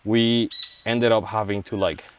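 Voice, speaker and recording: A man from Mexico, talking through an interview about wildlife work in a halting way, with many restarts and fillers. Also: fairly low pitch, flat delivery. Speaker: wildlife veterinarian. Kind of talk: casual interview. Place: outdoors in a rainforest release site. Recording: faint outdoor noise, rain. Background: faint rain and forest noise.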